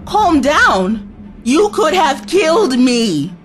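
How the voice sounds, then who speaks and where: A woman's voice: high voice, very animated, slow, heavily acted. An actress playing a feisty, indignant and outspoken woman time traveller in an audio drama.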